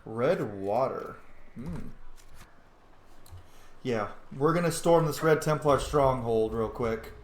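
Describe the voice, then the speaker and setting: A man's voice: mid-range voice, takes it slowly, pauses often. A man streams a video game in a mid-range voice. He comments casually and playfully, talking to the characters and swearing now and then. The sound is roomy and echoing, with faint noise behind him. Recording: roomy echo with background noise.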